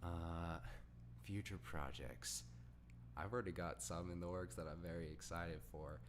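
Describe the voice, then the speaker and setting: Male podcast host, low voice, rambling enthusiastic fan talk, faint background noise. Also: fairly even intonation, a little slow. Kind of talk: casual podcast chat.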